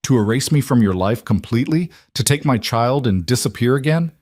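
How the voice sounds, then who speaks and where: A man's voice: medium pitch, expressive, brisk, darkish tone, dry recording, clean studio sound, pitched above the performer's own voice, natural voice. A man voicing a cold, commanding mafia boss with clipped, wounded fury in an audiobook drama. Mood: bitter, demanding.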